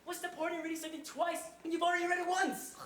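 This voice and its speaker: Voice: very high-pitched, flat delivery, fast. Exasperated pompous bank manager, as a performer plays him. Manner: exasperated, stiffly formal, often shouting. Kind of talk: stage comedy acting. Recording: echoey room.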